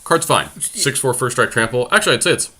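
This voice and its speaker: A man's voice: mid-range voice, lively intonation, fast talker, bright, forceful tone. A man hosting a podcast, casually talking through card choices for a game and thinking aloud as he goes. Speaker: podcast host. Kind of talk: casual card game commentary while deckbuilding.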